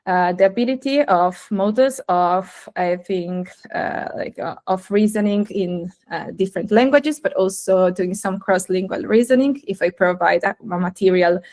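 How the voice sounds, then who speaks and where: A woman's voice: medium pitch, moderate pace, few pauses, a little mellow. A young woman, a native Italian speaker, giving a technical talk over a video call, hesitant with many fillers and restarts. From Italy. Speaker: guest speaker.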